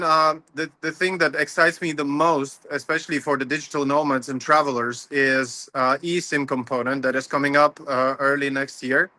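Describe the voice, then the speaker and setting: A man's voice: medium pitch, sharp tone, dry recording. A man, a company founder explaining his product in an upbeat casual interview over a video call. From Latvia. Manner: upbeat, explanatory, slightly non-native phrasing. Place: home, early morning.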